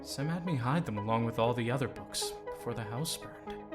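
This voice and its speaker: A man plays a worried young friend in an audiobook drama, with a mid-pitched, anxious voice. Loud music plays under him. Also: animated, normal speed, natural voice. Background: music score.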